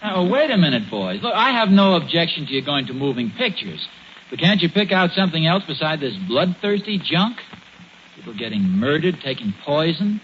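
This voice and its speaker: Through a dull, faintly noisy 1940s radio recording comes an earnest American suburban dad. His voice is fairly high and swoops as he lectures, a bit pompous and easily flustered. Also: sing-song, normal speed. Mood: mildly indignant. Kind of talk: 1940s radio sitcom acting.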